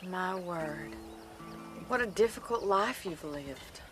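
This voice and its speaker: A woman's voice: lowish voice, animated, slow pace, fairly bright tone, soft background music. An actress playing an earnest young woman rancher, formal, wry and well-spoken, in a video game drama. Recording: noisy outdoor countryside background.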